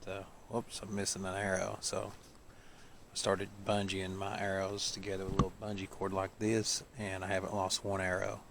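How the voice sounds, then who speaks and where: A man's voice: low-pitched, completely flat delivery, normal speed, creaky. A man hosting an outdoor vlog, explaining things casually to camera with folksy, hesitant phrasing, recorded outside in the woods. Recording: noisy outdoor camera audio.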